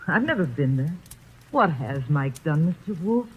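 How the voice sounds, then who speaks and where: Wary young woman in a radio play, breathy, lo-fi old recording. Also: low-pitched, sing-song, unhurried, exaggerated voice. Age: young adult. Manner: wary, anxious replies. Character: Violet, nervous young woman, streetwise. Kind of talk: 1940s radio acting.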